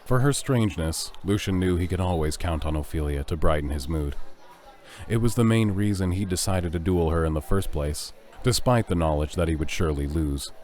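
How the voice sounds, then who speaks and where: A man's voice: sits low, a little fast. A man narrating a scripted fantasy story as the narrator of an audio drama. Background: loud music.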